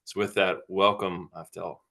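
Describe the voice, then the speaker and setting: A man's voice: deep voice, little pitch movement, moderate pace, creaky, sharp tone. A man hosting an interview, introducing his guest earnestly and asking open questions. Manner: affirming, earnest, frequent mm-hmms. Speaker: interview host. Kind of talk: casual interview chat.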